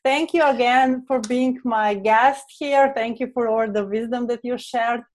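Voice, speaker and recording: A woman's voice: fairly high pitch, steady tone, a little slow. A woman with a Slovene accent hosting a podcast interview, affirming her guest and laughing often. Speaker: podcast host.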